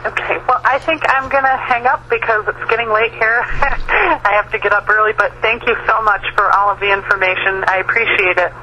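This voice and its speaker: A woman's voice: mid-range voice, fairly even intonation, a little fast. A woman calling in on a noisy telephone line, chatting casually in an appreciative, enthusiastic way. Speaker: caller, fundraising volunteer. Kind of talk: casual phone conversation.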